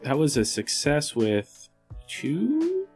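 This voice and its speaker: A man's voice: on the high side, huge pitch swings, slow pace, darkish tone, slightly rough, soft background music. A man playing a tabletop RPG, improvising casually in character in a hesitant, rambling way. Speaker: player in a tabletop RPG podcast.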